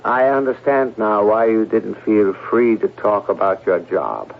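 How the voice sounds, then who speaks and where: An actor playing a calm, solicitous psychiatrist in a 1970s radio drama, gentle and deliberate. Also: male voice, fairly low pitch, creaky. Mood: calm, reassuring. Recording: thin, phone-like old radio broadcast.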